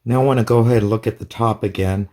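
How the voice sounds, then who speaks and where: A man's voice: lowish voice, fairly even intonation, quick pace, mellow. A man giving a casual hands-on product demonstration in a plain, methodical, step-by-step way. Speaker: product reviewer.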